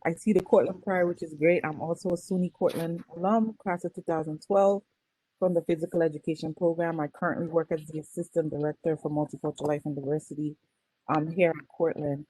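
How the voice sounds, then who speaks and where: A woman in her thirties from Grenada, co-hosting a panel in a relaxed, friendly way with frequent fillers. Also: low-pitched, fairly quick, a little hiss or hum, poor audio. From Grenada, Caribbean. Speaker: co-host.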